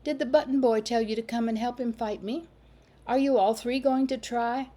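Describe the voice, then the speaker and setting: A woman's voice: mid-range voice, expressive, moderate pace, a little mellow, lightly acted. A woman voicing a bold, saucy little girl in a storybook reading, teasing and sing-song.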